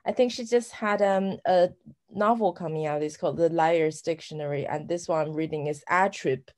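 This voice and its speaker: A woman's voice: sits low, normal speed, a little mellow. A female novelist guest talking about her reading, hesitant and searching for words. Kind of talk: casual podcast interview.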